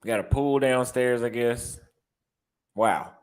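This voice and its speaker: A man's voice: medium pitch, slow pace. A young man with a Texas accent, chatting casually in a vlog and reacting aloud as he browses apartment listings, repeating his phrases. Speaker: vlogger and barber.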